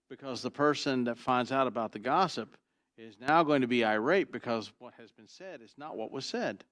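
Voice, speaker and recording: A pastor with a booming, trained voice preaching an expository sermon, swinging from loud emphasis to quiet asides and asking "Why?" before he answers. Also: no echo, studio quality. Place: church sanctuary.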